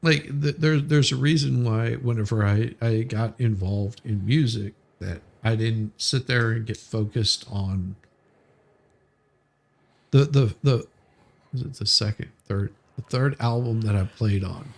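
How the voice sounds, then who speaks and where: A man's voice: lowish voice, measured pace, creaky. A man in casual livestream conversation, telling anecdotes and repeating phrases as he builds his point. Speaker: musician, bass player, livestream co-host.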